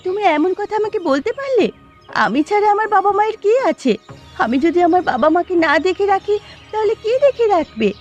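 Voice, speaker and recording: A woman voicing a sharp-tongued, easily hurt young village wife in a cartoon. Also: extremely high pitch, sing-song, fairly quick, highs cut off, faint music behind. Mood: hurt, quarrelsome. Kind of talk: Bengali cartoon dialogue.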